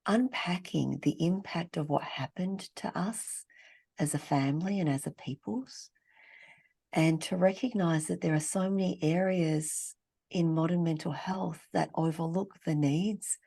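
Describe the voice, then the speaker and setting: Low gravelly woman, measured. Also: warm tone. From Australia. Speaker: trauma therapist guest. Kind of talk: reflective interview talk. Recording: podcast remote call.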